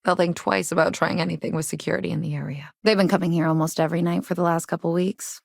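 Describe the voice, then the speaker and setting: An actress voicing a guarded but caring, plain-spoken woman innkeeper from a rough sci-fi district in a video game. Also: lowish voice, steady tone, quick pace, no room sound, clean studio sound. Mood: calm, earnest. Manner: plain-spoken, earnest, civic-minded. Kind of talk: game dialogue lines, conversational.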